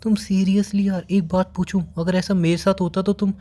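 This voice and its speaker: A man's voice: high voice, flat delivery, moderate pace, warm tone, natural voice. Man playing an insistent, worried young boyfriend. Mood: insistent, hurt. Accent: Indian. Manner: pleading, questioning, repeats himself insistently. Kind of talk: phone-call relationship drama acting.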